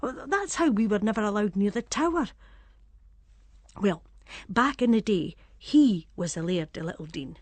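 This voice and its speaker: A woman's voice: mid-range voice, animated, normal speed, no room sound, close to an everyday voice. An actress playing a gossipy, superstitious Scottish village woman, chatting conspiratorially in Scots dialect as a dramatic monologue. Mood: storytelling, confiding.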